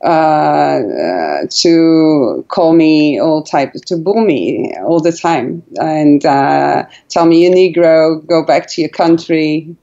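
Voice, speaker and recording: A slow-speaking woman in her forties from Portugal tells her story as an interview guest. She talks hesitantly, full of fillers and restarts, in a slightly low voice. The audio sounds dull and lo-fi. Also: expressive, creaky. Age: 40s. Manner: hesitant, many fillers and restarts, laughs softly. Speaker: guest, brand founder. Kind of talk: casual interview.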